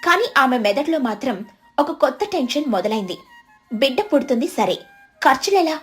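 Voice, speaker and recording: A woman narrator with a high-pitched voice reads a scripted children's story. Light music plays softly behind her. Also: big pitch swings, moderate pace.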